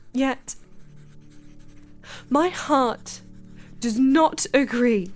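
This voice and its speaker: Sheltered young lady, high voice, formal and wistful, period radio drama diary narrator with quiet determination. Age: young adult.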